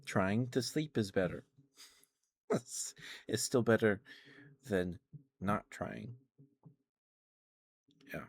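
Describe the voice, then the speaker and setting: A man chatting casually in an ASMR style as a live streamer, in a halting, gentle, rambling way. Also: fairly low pitch, gravelly.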